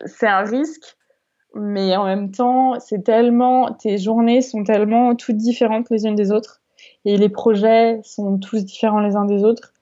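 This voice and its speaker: Young woman, interview guest; halting, restart-prone delivery; warm low-energy timbre. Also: fairly even intonation. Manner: hesitant, many fillers and restarts. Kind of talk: casual interview chat. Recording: dull lo-fi recording.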